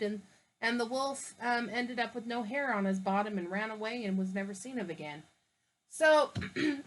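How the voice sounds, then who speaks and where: A woman reading aloud to children, explaining the story gently with some fillers. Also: on the high side, slight background noise, poor audio. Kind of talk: casual read-aloud commentary.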